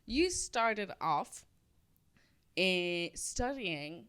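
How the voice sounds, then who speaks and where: A woman's voice: fairly low pitch, big pitch swings, very slow, fairly bright tone, smooth. Chatty woman hosting a podcast. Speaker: podcast host. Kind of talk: casual podcast chat.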